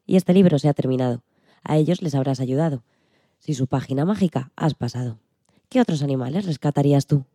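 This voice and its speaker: Very dark-voiced woman, reading quickly. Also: very deep voice. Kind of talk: scripted reading of a picture-book description.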